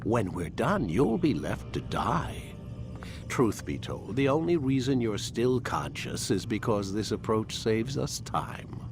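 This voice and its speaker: An actor playing a cold, ruthless older scientist in a video game, speaking in a measured, formal, lecturing way. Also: male voice, mid-range voice, big pitch swings, creaky, over music, exaggerated voice. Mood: cold, menacing. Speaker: scientist in charge.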